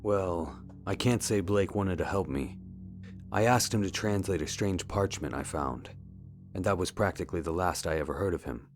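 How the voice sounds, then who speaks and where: A man's voice: deep voice, normal speed, natural voice. An actor playing an earnest, wary amateur investigator and worried father in an adventure game, questioning in a guarded, restrained way. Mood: guarded, curious. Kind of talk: adventure game dialogue acting. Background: music.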